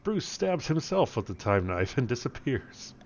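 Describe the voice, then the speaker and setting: A man's voice: mid-range voice, sing-song, moderate pace, slight background noise. A man narrating a comic script as a mock-serious, theatrical dramatic reading, in a slightly muffled recording. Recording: slightly dull, muffled sound.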